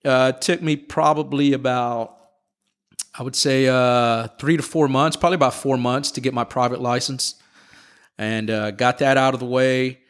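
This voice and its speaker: A middle-aged man talking through his flight training in a casual interview. He explains things patiently and in a relaxed way, pausing on "uh" and "um" and restarting his sentences as he goes. His voice sits at an ordinary middle pitch. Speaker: airline captain guest.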